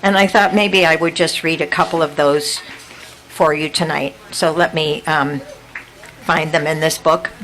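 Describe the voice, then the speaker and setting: An older woman reading her own poetry aloud at an event, measured and unhurried, then chatting loosely with fillers between pieces. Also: sits low, fairly even intonation, a bit bright. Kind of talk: poetry reading and spoken introduction. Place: indoor venue with an audience.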